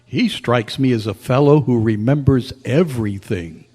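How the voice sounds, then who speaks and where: A man's voice: medium pitch, moderate pace, a little mellow, husky, close to an everyday voice. A man acting a puzzled, formal and courteous military man in an old-time radio play. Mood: measured. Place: convention hall, live performance. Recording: reverberant hall stage recording.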